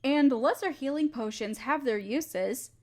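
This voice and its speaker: High-pitched, encouraging alchemist teacher played by a woman. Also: unhurried. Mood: reassuring. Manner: gentle, encouraging, instructive. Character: encouraging female alchemist teacher, excited for her student. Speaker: audio roleplay performer. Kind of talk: fantasy audio roleplay, speaking directly to the listener.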